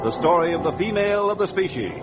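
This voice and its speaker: High-pitched male old-time radio announcer. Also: lively intonation, fast, over loud music. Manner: grandiose, theatrical. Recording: muffled vintage radio recording.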